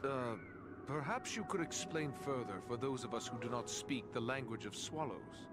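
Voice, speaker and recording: An actor playing a practical, impatient adventurer in a fantasy game, with a dry, pointed, formal way of talking. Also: male voice, mid-range voice, lively intonation, moderate pace, over loud music, natural voice. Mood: dry impatience.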